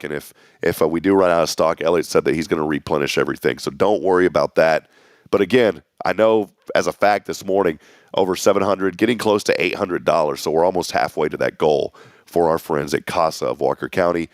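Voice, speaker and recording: Host, man, deep and hoarse, upbeat announcer, dry studio sound. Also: no room sound. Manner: folksy, upbeat community announcer. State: losing his voice. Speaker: morning show host. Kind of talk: local morning show announcements. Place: small local TV studio.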